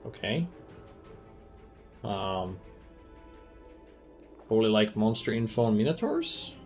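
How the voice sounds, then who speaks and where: Low, gravelly man thinking aloud over a game. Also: huge pitch swings, takes it slowly, faint music behind. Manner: thinks aloud with many ums, musing over loot and rules. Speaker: game streamer. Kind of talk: casual gameplay commentary.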